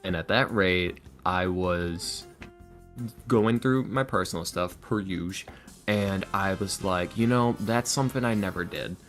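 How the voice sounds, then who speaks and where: Chatty low-voiced man, podcast guest. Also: unhurried, a bit bright, soft background music. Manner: chatty, informal, acts out quotes. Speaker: podcast guest, voice actor and ASMR creator. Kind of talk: casual chat, storytelling.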